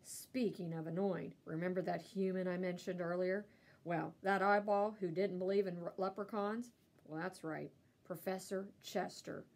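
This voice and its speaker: A woman reading a children's storybook aloud like a teacher, expressively and with animated delivery. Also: fairly low pitch, creaky. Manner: animated, pausing to ask listeners questions.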